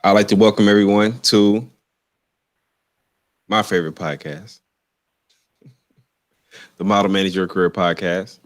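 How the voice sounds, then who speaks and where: A man with a lowish voice hosts a casual live-streamed podcast over a video call. He rambles, restarts and repeats his words in a loose, easygoing way. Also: little pitch movement, unhurried, long pauses. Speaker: podcast host.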